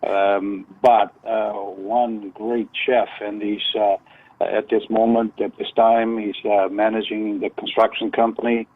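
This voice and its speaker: A man's voice: on the low side, flat delivery, unhurried. Older man on a muffled phone line. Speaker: phone-in guest, retired contractor. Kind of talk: casual radio phone interview.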